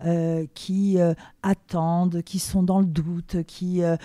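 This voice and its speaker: A podcast interviewer asking gentle, measured questions, with small hesitations between them. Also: medium pitch, little pitch movement, dry recording, studio quality.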